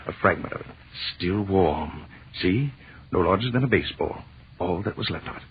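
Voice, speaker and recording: A deep, highly animated man's voice plays a dismissive, excitable professor. The delivery is emphatic old radio acting on a tinny, hissy recording. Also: moderate pace, husky, full character voice. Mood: excited. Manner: emphatic, impatient. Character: brusque, dismissive, excitable male scientist professor and husband.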